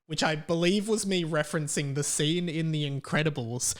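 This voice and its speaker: A man's voice: fairly high pitch, normal speed. A man in his twenties with an Australian accent, hosting a podcast solo in a casual, rambling way with repeated words for emphasis. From Melbourne, Australia. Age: 20s. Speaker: podcast host.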